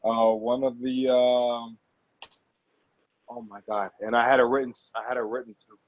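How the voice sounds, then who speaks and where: A man being interviewed as an actor, chatting casually and haltingly, often repeating phrases for emphasis. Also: medium pitch, flat delivery. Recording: dull, muffled lo-fi audio.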